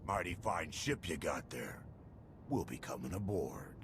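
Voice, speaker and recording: A man's voice: rumbling low, big pitch swings, unhurried, exaggerated voice. A man voicing a brash, boisterous ship captain with a drawl in a game cutscene. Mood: swaggering. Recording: noisy game cutscene audio.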